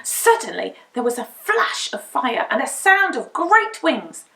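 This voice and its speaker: A woman storyteller reads a children's picture book with very lively, swooping intonation. Her voice is bright and slightly high, her delivery dramatic and deliberate, in a roomy echoing space. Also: vocal fry. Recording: roomy indoor echo.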